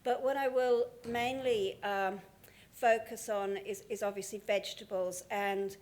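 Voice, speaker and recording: A woman presenting at a conference, speaking with many pauses, ums and restarts. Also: medium pitch, steady tone, a little mellow. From Australia. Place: conference hall.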